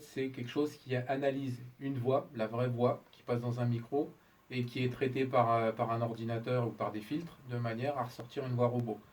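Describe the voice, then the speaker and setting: A middle-aged man explains his craft as an interviewed musician, informal and colloquial, halting and correcting himself. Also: mid-range voice, darkish tone. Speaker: interviewed musician, talkbox player and producer. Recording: distant mic, noisy room background.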